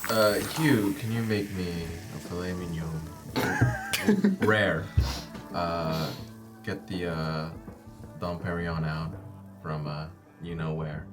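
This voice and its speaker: Man playing a low-voiced, entitled company agent. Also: animated, takes it slowly, long pauses, music in the background. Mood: fussy, demanding. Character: entitled, fussy male corporate agent with luxurious tastes. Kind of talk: tabletop roleplay in character.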